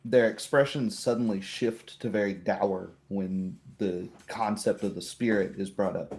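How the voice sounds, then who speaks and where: A man's voice: lowish voice, steady tone, measured pace, darkish tone. A man narrating a tabletop roleplay as game master in a casual, conversational way.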